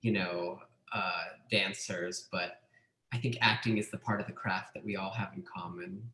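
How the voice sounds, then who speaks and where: A man leads a casual talk about theater, thoughtful and enthusiastic. His pitch is mid-range for a man. The voice sits in a roomy, echoey space. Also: steady tone, normal speed, pushed and bright, a little hiss or hum. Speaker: theater director and host. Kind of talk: casual conversation. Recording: echoey room.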